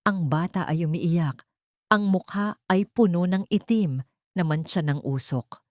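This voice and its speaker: Low-pitched Filipino woman narrating drama. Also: a little slow, a little mellow, no echo, clean studio sound. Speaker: audiobook narrator. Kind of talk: dramatic scripted story narration.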